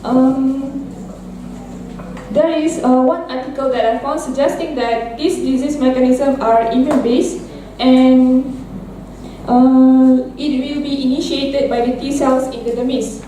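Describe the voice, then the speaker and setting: A woman's voice: high voice, steady tone, unhurried, warm tone, slight background noise. A young woman student earnestly explaining a skin disease in a class presentation, with a few restarts. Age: young adult. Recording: echoey room from far off.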